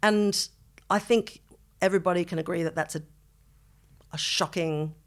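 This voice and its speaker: A woman's voice: on the low side, steady tone, moderate pace. A middle-aged woman co-hosting a podcast, speaking conversationally and seriously, often qualifying herself with asides. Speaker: podcast co-host.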